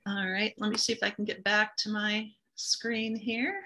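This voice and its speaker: A woman hosts a webinar on a video call. Her voice is bright and crisp at a medium pitch, and her manner is friendly and a little apologetic. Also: level tone, normal speed. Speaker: webinar host.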